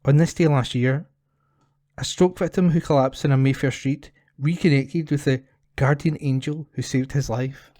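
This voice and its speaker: A man's voice: medium pitch, steady tone, moderate pace, dark tone, no room sound, clean studio sound. A man reading scripted news items as a volunteer for a talking newspaper. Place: at home.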